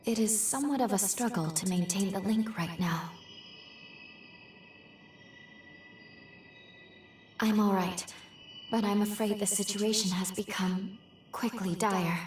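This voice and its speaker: A woman acting a worried, earnest guide in a video game cutscene, speaking formally and gravely. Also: medium pitch, slight background noise, close to an everyday voice. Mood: apologetic concern. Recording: echoey, distant voice over soft music.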